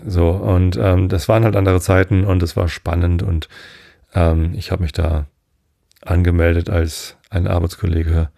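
Middle-aged northern German man, sleep podcast host; dark, husky voice, rambling, dry close mic. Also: low-pitched, dry recording. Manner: meandering, hesitant, many fillers and digressions.

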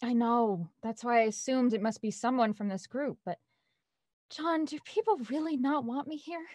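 Woman acting an anxious young woman, higher voice. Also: normal speed, darkish tone, dry recording, natural voice. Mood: worried, shy. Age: young adult. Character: anxious, shy young woman on a production team. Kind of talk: audio drama acting.

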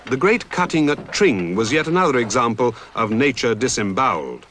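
A man giving measured, dramatic scripted documentary narration. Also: mid-range voice, big pitch swings, background noise. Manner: measured, dramatic storytelling delivery.

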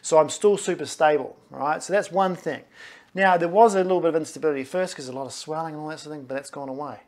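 A man's voice: on the high side, quick pace, darkish tone. A man in his forties, a physiotherapist, chatting through his own knee scans to camera in a small clinic office, often checking in with okay and right. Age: 40s.

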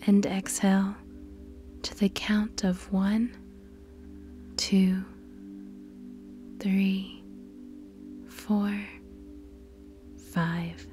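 A woman narrating a scripted guided meditation in a soft, breathy, partly whispered voice, measured and instructional, with pauses between phrases. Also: medium pitch, steady tone. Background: background music.

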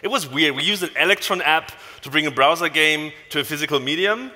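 A man's voice: fairly high pitch, a little fast. A man in his thirties with a German accent, a native German speaker, giving an informal, joking tech talk on stage as a conference speaker. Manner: animated, joking, casual swearing. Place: large conference hall stage. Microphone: headset. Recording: large hall reverb.

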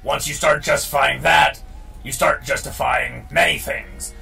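A man's voice: on the high side, fairly even intonation, a little slow, vocal fry, pushed and bright. A man hosting a gaming show with casual, opinionated commentary, emphatic and prone to swearing. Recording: distant mic, game music underneath.

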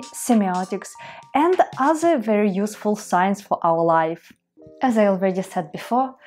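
A woman's voice: a little high, darkish tone. A young woman from Russia with a Russian accent talks casually to the camera from a desk in a small room at home, earnest and chatty, with careful English and small restarts. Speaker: vlogger.

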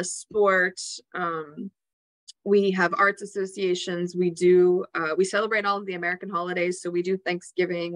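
A woman's voice: on the low side, a bit bright, no echo. A woman giving a casual, filler-filled school presentation on a video call. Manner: casual, filler-heavy explaining. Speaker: school representative. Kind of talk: webinar presentation.

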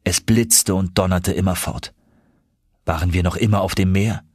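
A man reads an adventure audiobook with expressive, suspenseful delivery. His voice is fairly low and noticeably husky. The recording is close and very dry. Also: moderate pace, no room sound. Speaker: audiobook narrator. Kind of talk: dramatic scripted audiobook narration.

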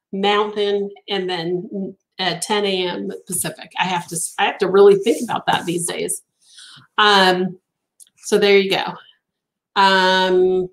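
A woman's voice: mid-range voice, rough recording. Woman in her fifties, Italian first language, casual webcam chat, plenty of ums, slightly stuffy. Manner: chatty, many ums and restarts. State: stuffy from allergies. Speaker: crochet designer hosting a live stream. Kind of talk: casual live-stream chat reading viewer comments. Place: home craft room with yarn shelves. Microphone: webcam at desk.

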